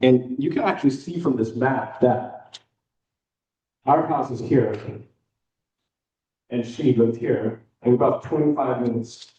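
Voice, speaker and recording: A young American man giving a casual personal talk with slides to a club meeting, relaxed and chatty with frequent fillers. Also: medium pitch, measured pace, long pauses, recorded from a distance, dull audio. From United States. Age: young adult. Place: meeting room.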